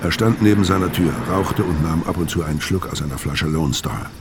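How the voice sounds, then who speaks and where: A man's voice: deep voice, fairly quick, background noise, natural voice. A man acting a world-weary, hard-boiled criminal who tells his story tersely in a crime radio drama. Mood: tense, watchful. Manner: terse, laconic. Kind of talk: first-person crime radio drama narration and dialogue.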